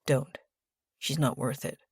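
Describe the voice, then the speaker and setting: A woman's voice: rumbling low, moderate pace, vocal fry, no echo, clean studio sound, pitched below the performer's own voice, heavily acted. A woman voicing a curt, protective, angry young man in an audiobook, blunt and snapping. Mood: hushed warning.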